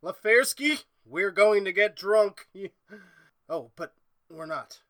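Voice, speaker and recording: Male actor as explosive mad chemist, bright forceful voice, unhurried imperious delivery, dry sound, vintage radio melodrama. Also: high voice, expressive, no echo, exaggerated voice. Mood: manic, gloating. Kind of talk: 1930s horror radio drama acting.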